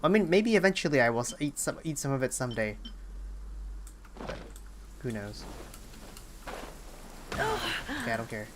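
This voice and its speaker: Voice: mid-range voice, big pitch swings, moderate pace, long pauses, noisy background. A game streamer giving casual live commentary, rambling and often breaking off mid-thought while thinking aloud. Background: game music.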